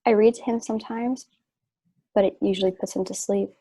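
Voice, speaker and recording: A woman playing a sick, trusting wife in a stage play reading, wistful and gently earnest. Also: medium pitch, fairly even intonation, fairly quick, breathy edge, dry recording, close to an everyday voice. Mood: wistful, fond.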